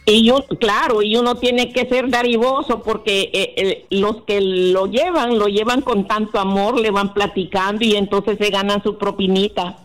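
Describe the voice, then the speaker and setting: A woman's voice: mid-range voice, fairly even intonation, moderate pace, hardly any pauses. An elderly woman in her eighties with a Honduran accent, calling a radio show and casually telling anecdotes. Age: 80s.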